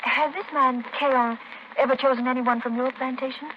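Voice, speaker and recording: A woman's voice: sits high, steady tone, moderate pace, lightly acted. Actress as a genteel, sensitive lady, muffled radio sound. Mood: horrified, anxious. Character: genteel, sensitive young lady visitor from New York. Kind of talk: 1930s radio drama acting. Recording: muffled 1930s radio recording, faint noise.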